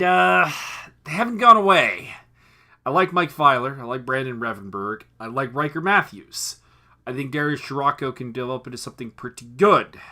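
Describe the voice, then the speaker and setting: A hoarse man hosting a solo review of a football game, reeling off stats and correcting himself as he goes. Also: mid-range voice, bright, forceful tone. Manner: analytical, rattles off stats with frequent self-corrections. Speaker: sports review host.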